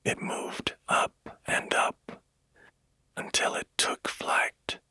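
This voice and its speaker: A man narrating a bedtime story in a hushed, gentle and soothing manner, taking his time. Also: drawn-out words, no echo, in a whisper. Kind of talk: slow, whispered bedtime storytelling. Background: music.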